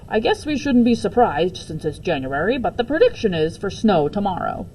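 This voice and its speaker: Woman playing male elementary principal, storybook announcements, animated intonation, soft-edged tone, background noise. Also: medium pitch, moderate pace, low-quality recording. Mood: announcing, cautioning. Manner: announcing, addressing schoolchildren. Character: smart, funny male elementary school principal on the intercom. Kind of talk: children's storybook read-aloud.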